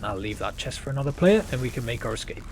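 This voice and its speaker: A man's voice: mid-range voice, lively intonation, fast talker, a little mellow, slight background noise. A man giving casual commentary as he plays through a video game. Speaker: gamer narrating a playthrough.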